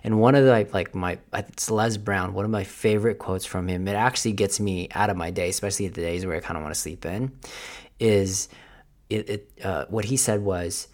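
A man talking earnestly to camera in a motivational fitness vlog, restarting phrases often and slowing down to stress key points. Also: on the low side, a little mellow. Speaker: fitness vlogger.

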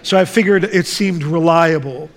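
A man who grew up in the Netherlands, preaching a sermon and reading scripture in a slow, deliberate way. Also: fairly high pitch. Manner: slow, deliberate, measured delivery. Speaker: guest preacher. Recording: reverberant church hall.

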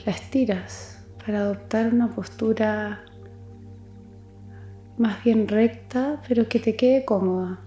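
Slow, mellow woman guiding a meditation. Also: a little high, fairly even intonation, roomy sound. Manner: soothing, gentle, soft-spoken with long pauses. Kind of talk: guided meditation. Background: music.

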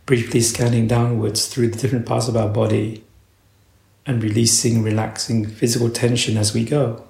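A man guides a meditation in a mid-range voice with a noticeable gravelly fry. He speaks in slow, measured phrases and leaves long pauses between them. The sound is roomy, with clear echo around the voice. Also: mid-range voice, little pitch movement, darkish tone, a little hiss or hum. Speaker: meditation teacher.

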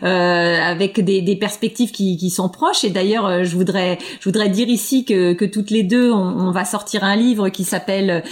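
A woman speaking as an education researcher in an interview. She talks hesitantly, with frequent fillers and repeated words. Her voice sits in a middle pitch. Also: fairly even intonation, normal speed, hardly any pauses. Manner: hesitant, many fillers and restarts. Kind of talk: interview answers.

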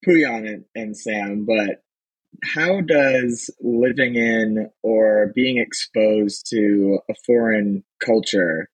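Slow husky guy asking the panel a question, dry close sound. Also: fairly low pitch, dry recording, rough recording. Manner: measured, hesitant questions. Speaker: podcast host asking questions.

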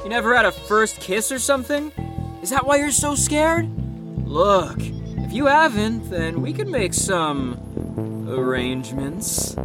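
A woman's voice: mid-range voice, big pitch swings, measured pace, music in the background. A woman voicing a brash, teasing young male troublemaker in an audio drama. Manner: teasing, cocky, drawling.

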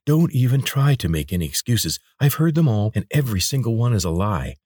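Man playing an angry young woman guard, sharp, accusing, dry sound. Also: lowish voice, animated, fairly quick, husky, dry recording, pitched above the performer's own voice. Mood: hostile.

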